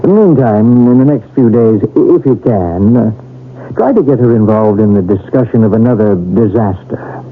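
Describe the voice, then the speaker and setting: A man playing a calm, thoughtful, probing doctor in a 1970s radio drama. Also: lowish voice, normal speed. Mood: intent. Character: Carlos, calm inquisitive male doctor open to the occult. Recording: tinny, noisy 1970s radio recording.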